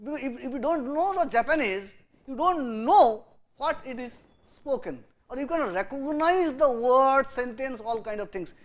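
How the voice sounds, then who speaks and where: A university lecturer whose first language is Bengali, speaking English with an Indian accent and explaining patiently, stressing some words loudly and letting line ends fall quiet. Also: high voice, lively intonation, measured pace.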